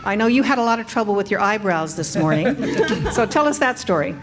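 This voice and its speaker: A woman's voice: mid-range voice, lively intonation, a little fast, noisy background. A woman hosting a convention panel as parade coordinator, cheerful and chatty, laughing often. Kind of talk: upbeat live-stream hosting. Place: convention event room.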